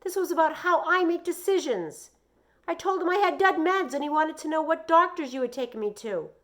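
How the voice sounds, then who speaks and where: A wound-up young boy played by a woman reading a storybook aloud, pitched well up and pushed loud. He blurts out his grievances insistently, one tumbling into the next. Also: a little fast, close to an everyday voice. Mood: frantic. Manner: shouting, insistent, breathless run-on complaints.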